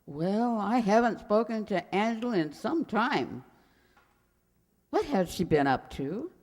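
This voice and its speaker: A woman's voice: mid-range voice, very animated, slow pace, darkish tone, heavily acted. A woman playing a sweet, forgetful elderly landlady who dithers and rambles in a radio comedy. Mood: muddled. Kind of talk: radio comedy acting, old-time sitcom revival. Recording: very reverberant hall sound.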